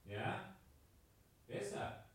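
An actor in a German radio play playing an anguished man haunted by nightmares, speaking haltingly and repeating his words. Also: lightly acted. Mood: wary, subdued.

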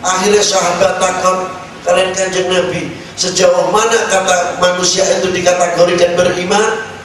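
A man's voice: high voice, flat delivery, normal speed, a bit bright. Echoey, distant Javanese-accented male preacher. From Indonesia. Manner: exhortative, emphatic, with rhetorical questions. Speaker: Islamic preacher. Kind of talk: sermon mixing Indonesian with regional speech and Arabic quotations. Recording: echoey, noisy hall recording from afar.

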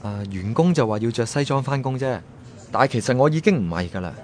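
A man's voice: medium pitch, lively intonation, fast talker, a little mellow, a little hiss or hum, lightly acted. An actor playing a hapless, wryly plaintive young man who narrates his diary in a radio drama. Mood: wry, bemused.

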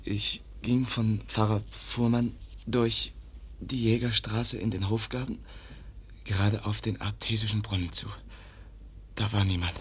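A man's voice: on the low side, husky, full character voice. An actor in a German radio drama playing a wounded young man, weak and in pain, gasping out broken, clipped sentences.

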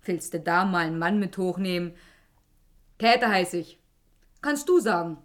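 An actress playing a self-deprecating young working-class woman in a radio drama, blunt, colloquial and halting. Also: fairly low pitch, close to an everyday voice. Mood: shy but blunt.

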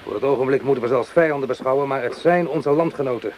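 An actor playing an anguished, protective French army corporal, once a farmer, with an earnest, deliberate delivery in a radio play. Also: male voice, medium pitch, darkish tone, natural voice. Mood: earnest, patient. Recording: clearly noisy old radio play recording.

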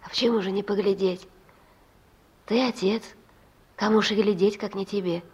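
An actress playing a tender, patient young mother in a Soviet radio drama, soft and gently teasing with her sweetheart. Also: medium pitch, fairly even intonation, moderate pace, husky, muffled recording, natural voice. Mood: tender, quiet. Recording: noisy old Soviet radio recording.

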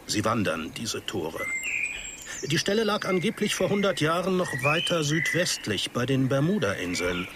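An actor in a radio drama playing a withdrawn older researcher who expounds eerie theories in a halting, musing, theatrical way. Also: male voice, on the high side, big pitch swings, noisy background. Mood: musing, intense.

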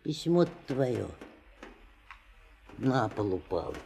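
Matryona, creaky deep-voiced old housekeeper. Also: female voice, lively intonation, slow, warm tone, full character voice. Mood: homely, calling. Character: Matryona, old Russian housekeeper, homely and fussing. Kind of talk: literary radio drama.

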